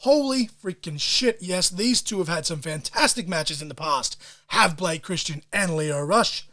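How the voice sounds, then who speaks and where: A man hosting a solo podcast, talking in an excitable, profane way full of wrestling-fan slang. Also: high-pitched, unhurried. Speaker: podcast host.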